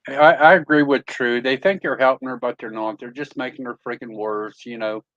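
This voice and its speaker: A man's voice: medium pitch, fairly quick, fairly bright tone, no room sound, highs cut off. A man joining a livestream panel as a guest, chatting casually and agreeably. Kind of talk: casual chat. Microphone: laptop.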